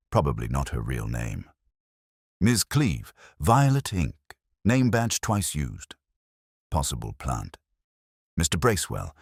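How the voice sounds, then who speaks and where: A man's voice: a bass voice, slightly rough, no echo, clean studio sound. A man reading scripted narration of a detective story as an audiobook narrator.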